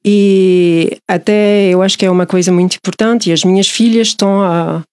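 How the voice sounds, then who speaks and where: A woman in her forties answering interview questions in a friendly way, often pausing on fillers as she searches for words. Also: medium pitch, fairly even intonation, darkish tone, smooth. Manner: hesitant, many fillers, friendly. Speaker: guest.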